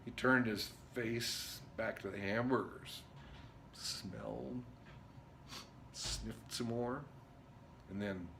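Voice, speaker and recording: A man performing a dramatic stage monologue as an intense, rambling drifter, speaking in emphatic broken phrases with pauses. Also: fairly low pitch, fairly even intonation, creaky, bright, forceful tone, exaggerated voice. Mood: suspenseful, deliberate. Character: Jerry, intense rambling drifter confiding a story. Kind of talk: theatrical dramatic monologue reading. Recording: noticeable background noise.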